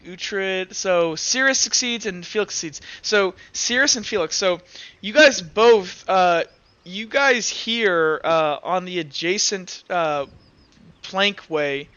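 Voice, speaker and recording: A man with a high, bright voice works as game master for a tabletop roleplaying session. He narrates informally, starting and stopping as he goes. The recording is a little dull and muffled. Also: smooth.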